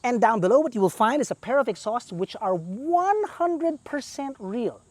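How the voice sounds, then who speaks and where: Lively Filipino man reviewing a car. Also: very high voice, normal speed, dry recording. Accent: Filipino English. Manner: chatty, playful, enthusiastic asides. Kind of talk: presenting a car review walkaround.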